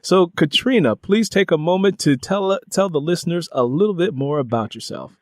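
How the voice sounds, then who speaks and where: A man hosting an interview podcast, speaking in drawn-out, emphatic phrases. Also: on the high side, animated, dry recording, studio quality.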